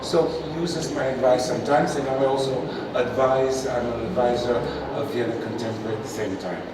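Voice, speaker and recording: A man's voice: medium pitch, fairly quick. A man from Bulgaria, an art advisor speaking candidly and self-deprecatingly at a press conference. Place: large historic hall. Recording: echoey hall, distant mic, background noise.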